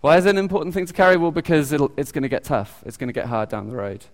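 Halting British man preaching in a church. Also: on the high side, sing-song. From United Kingdom. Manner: halting, many ums and restarts. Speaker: lay preacher. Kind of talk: informal sermon with audience questions. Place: church hall. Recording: long echoey church reverb.

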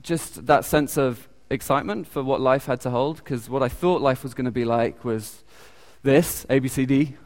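A man with a British accent giving an informal sermon. He talks haltingly, with many ums and restarts. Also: mid-range voice, a little mellow. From United Kingdom. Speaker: lay preacher. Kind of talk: informal sermon with audience questions. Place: church hall. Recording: long echoey church reverb.

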